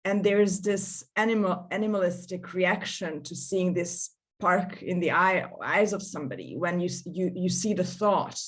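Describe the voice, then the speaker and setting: A woman speaks in a slightly low voice. She co-hosts a relaxed discussion over a video call. She thinks out loud and often restarts her sentences. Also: normal speed, hardly any pauses. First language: Hungarian. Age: 30s. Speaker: co-host. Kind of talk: casual panel discussion.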